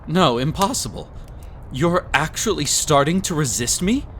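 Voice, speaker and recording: A man's voice: a little high, expressive, a little slow, a bit bright, slight background noise. A man playing a possessive, smug prince in a romantic audio roleplay, with a silky, condescending, theatrical delivery. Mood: alarmed, commanding. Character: possessive, smug young prince with hypnotic magic.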